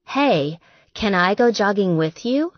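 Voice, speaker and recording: A woman's voice: mid-range voice, expressive, slow, no echo, highs cut off. A woman voicing an eager, good-natured young girlfriend in audiobook dialogue, earnest, chatty and self-deprecating.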